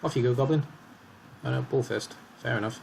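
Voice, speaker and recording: A man commentating his own match in a calm, analytical way as he talks through each move, slightly hoarse. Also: mid-range voice, fairly quick, noisy background. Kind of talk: casual game commentary.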